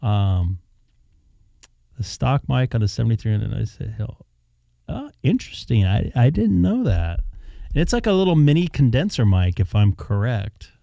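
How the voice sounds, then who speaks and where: Dark-toned man rambling on a stream. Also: medium pitch, big pitch swings, normal speed, vocal fry, dry recording. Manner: rambling, thinking aloud. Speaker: live stream host. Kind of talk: casual chat. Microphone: dynamic studio mic held in hand.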